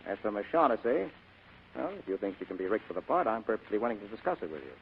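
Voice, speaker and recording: An actor playing a cool, wisecracking hard-boiled private detective in 1940s radio style, clipped and cynical. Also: male voice, lowish voice, big pitch swings, fast talker, gravelly, a little hiss or hum. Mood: hard bargaining. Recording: muffled vintage radio recording.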